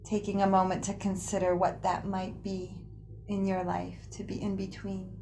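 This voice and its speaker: A woman leading a guided relaxation practice in an unhurried, soothing manner, pausing often between phrases. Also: mid-range voice, monotone, warm tone, slight background noise. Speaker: guided meditation teacher. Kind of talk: guided yoga nidra relaxation.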